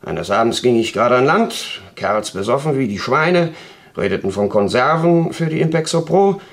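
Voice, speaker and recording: An actor playing a gruff, defensive sailor in a radio play, blunt and colloquial, with a creaky voice. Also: male voice, mid-range voice, expressive, normal speed, close to an everyday voice. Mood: guarded.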